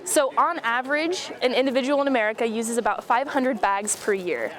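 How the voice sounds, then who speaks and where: A young woman with a fairly high voice talks as a club member being interviewed. She explains her points in an informal, conversational way. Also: fairly quick, a faint crowd.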